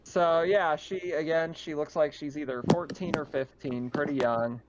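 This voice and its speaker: A man's voice: fairly high pitch, steady tone, moderate pace, heavy background noise. A man running a tabletop game, narrating casually as game master. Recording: muffled, dull microphone.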